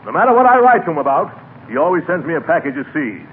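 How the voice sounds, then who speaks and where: Pompous, wildly swooping blusterer. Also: male voice, big pitch swings, fast, narrow, tinny audio. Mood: aggrieved. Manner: pompous, booming indignation with big swoops. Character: pompous, blustering, aggrieved neighbor man. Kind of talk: 1940s radio comedy acting. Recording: very noisy, thin 1940s radio recording.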